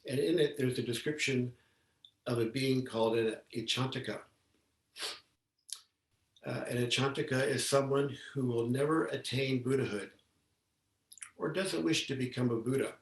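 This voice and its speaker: A man giving a measured Zen dharma talk as a teacher, speaking haltingly and deliberately with long pauses. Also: mid-range voice. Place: indoor room, talk given over video call. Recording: roomy, echoey, distant microphone on video call.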